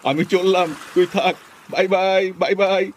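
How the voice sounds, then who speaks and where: A man voicing a sly scheming male kidnapper and thief, gloating in low asides and whining when caught. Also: little pitch movement, moderate pace, a little hiss or hum, close to an everyday voice. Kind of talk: amateur home-video drama.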